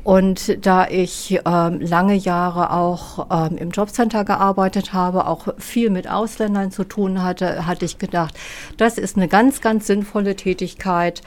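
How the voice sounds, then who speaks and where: A retired woman in her sixties, a volunteer, answering an interview calmly and thoughtfully. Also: mid-range voice, a little slow.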